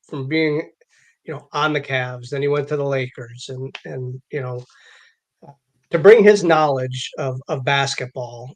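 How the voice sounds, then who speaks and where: A man co-hosting a casual sports talk show, speaking haltingly as he repeats words and rephrases. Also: fairly high pitch.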